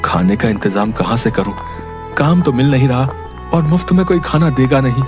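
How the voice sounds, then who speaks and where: A man's voice: mid-range voice, normal speed, close to an everyday voice. Man acting a humble, desperate poor father. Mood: desperate pleading. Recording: music behind the voice.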